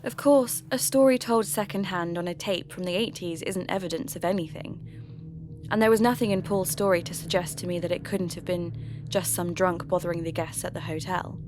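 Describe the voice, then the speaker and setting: Sceptical British woman presenter played by an actress, lowish voice, measured podcast drama narration over music. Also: lightly acted. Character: sceptical, curious female local radio presenter investigating hauntings. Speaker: narrator, radio host investigating.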